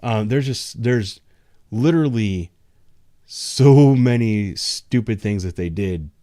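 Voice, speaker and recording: A man's voice: on the low side, takes it slowly, mellow, no room sound, studio quality. A man who works as a patrol officer, talking frankly and loosely in a casual livestream discussion, with many ums and restarts. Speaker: patrol officer, show host.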